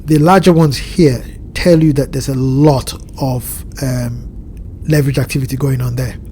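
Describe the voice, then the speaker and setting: A man with a blocked nose and a slightly nasal voice, walking through a chart with measured explanations and frequent pauses to find his words. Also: medium pitch, fairly even intonation, dark tone, slight background noise. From Southern Hemisphere. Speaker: crypto market analyst. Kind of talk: screen-share chart walkthrough. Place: home at evening, indoors.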